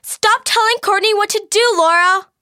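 A woman's voice: sits high, animated, a bit bright, voice pushed up. A woman voicing a fiery teenage camp girl. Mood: indignant. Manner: quick, emphatic, indignant.

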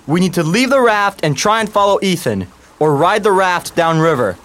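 A woman's voice: fairly low pitch, lively intonation, moderate pace, close to an everyday voice. A woman voicing an earnest, nervous teenage boy pushed into leading. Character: anxious teenage boy, the reluctant new guide.

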